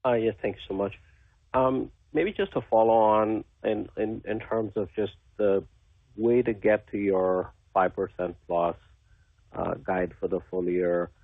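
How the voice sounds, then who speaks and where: A man's voice comes through a narrow, tinny phone line, deep and husky. He asks an analyst's question on an earnings call, halting and repeating words as he goes. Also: fairly even intonation, no echo. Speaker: financial analyst asking a question.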